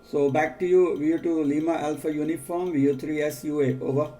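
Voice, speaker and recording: An Indian-accented man working as an amateur radio operator, measured and repeating key details in a procedural exchange. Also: fairly high pitch, fairly even intonation.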